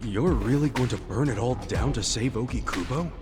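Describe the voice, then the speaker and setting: A brash, earnest male street brawler , played by an actor for a game dub. His blunt, informal speech swings widely in pitch, with music behind him. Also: animated, normal speed, lightly acted. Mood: incredulous. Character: brash, earnest, slightly dense good-hearted male brawler. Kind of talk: English video game dub acting.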